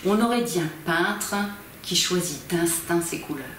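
Woman reading aloud in a very echoey room. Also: on the low side. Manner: measured, expressive phrasing with pauses. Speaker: volunteer amateur reader. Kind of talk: theatrical reading of a novel. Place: small library corner, indoors. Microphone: camera at a distance. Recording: very echoey room, faint background noise.

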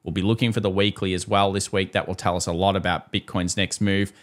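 Australian male market analyst, lowish voice, brisk opinionated chart commentary. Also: little pitch movement. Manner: brisk, opinionated, asks and answers own questions. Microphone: voice-over on screen recording.

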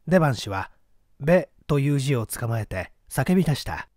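A male audiobook narrator reads a mystery tale with animated intonation. The storytelling is scripted and dramatic, and the recording is dry. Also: mid-range voice, normal speed, no room sound.

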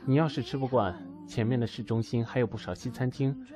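A man's voice: medium pitch, fairly quick, over music, lightly acted. An actor playing a guarded, coolly polite young man in an amateur audio drama. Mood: reluctant concern.

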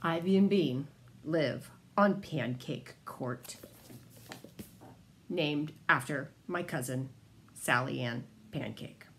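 Middle aged woman, low voice, slow and droll, talking to the camera, bit of room noise. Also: a bit bright. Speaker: children's author. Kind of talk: casual self-recorded trivia to camera. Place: indoors, home room.

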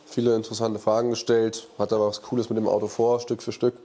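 A man in his thirties speaks in a slightly low voice, nasal from a cold. He gives frank, blunt verdicts in an easygoing workshop chat, miked close on a lapel. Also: flat delivery, fast talker, dark tone. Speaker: workshop expert answering viewer questions. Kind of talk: casual Q&A chat. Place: indoor workshop office, seated in armchair. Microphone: lapel mic.

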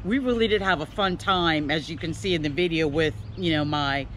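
A woman's voice: deep voice, brisk. An older woman with an American accent chatting casually to camera, playful and self-deprecating. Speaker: vlogger showing her home garden. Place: outdoors in a fenced backyard. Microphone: handheld camera at arm's length. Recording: clearly noisy outdoor ambience.

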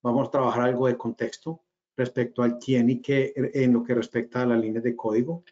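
Colombian man with a mellow, dull-sounding voice. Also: mid-range voice, little pitch movement, brisk. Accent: Colombian regional. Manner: hesitant, many fillers and restarts. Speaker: webinar speaker, company cofounder. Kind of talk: webinar presentation. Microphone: video call webcam. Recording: slightly dull video call audio.

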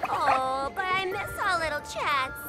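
A woman's voice: high voice, measured pace, loud music behind the voice. A woman playing a flippant, playful female antihero jester in a video game, sassy and teasing. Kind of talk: video game character acting.

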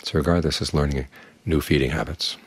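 An older man, a Buddhist monk, giving a calm, plain-spoken evening dharma talk that leans on homely images. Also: a bass voice, brisk, breathy edge, no room sound.

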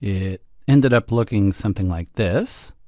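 A man giving an informal, wry screencast tutorial, thinking aloud as he demonstrates audio software. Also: fairly low pitch, measured pace, no room sound, clean studio sound. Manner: informal, wry, self-deprecating. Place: indoor close-miked voice-over, dry.

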